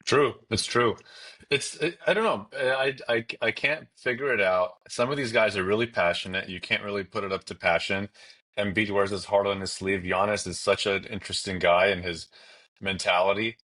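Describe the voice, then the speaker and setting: A man's voice: on the low side, fairly even intonation, moderate pace, pushed and bright. A man giving opinionated commentary in a casual, conversational sports discussion.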